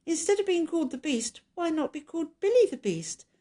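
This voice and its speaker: A woman's voice: very high voice, sing-song, fairly quick, warm tone, pitched above the performer's own voice. A woman voicing a friendly, shy young girl in a children's storybook, earnest and lilting. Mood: eager.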